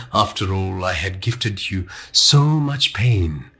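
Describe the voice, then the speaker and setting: A man voicing a sadistic male villain in an audiobook, with a silky, husky, slow and taunting menace. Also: low voice, animated, sharp tone, voice pushed down, full character voice. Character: smooth-talking sadistic male villain taunting over a radio.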